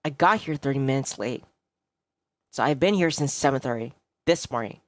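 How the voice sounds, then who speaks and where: A woman's voice: extremely low pitch, animated, normal speed, dry recording, pitched below the performer's own voice. A woman voicing a curt male office rival who is secretly caring, speaking tersely. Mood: stern, annoyed. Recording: music behind the voice.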